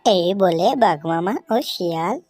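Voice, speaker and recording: A woman's voice: lowish voice, fairly even intonation, a little slow, a little mellow, soft background music. A woman narrating a cartoon story as its storyteller.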